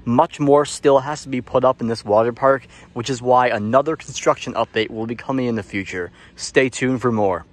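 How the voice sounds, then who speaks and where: A man's voice: mid-range voice, normal speed, few pauses. A man narrating an update as a travel vlogger, talking in an informal, explanatory way. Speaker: vlogger, channel host.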